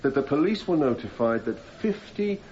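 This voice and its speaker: An actor playing a persistent, formal defence barrister cross-examining a witness in a radio courtroom drama, putting methodical leading questions. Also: male voice, a little high, very animated, moderate pace, darkish tone. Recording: slightly muffled, noisy radio drama recording.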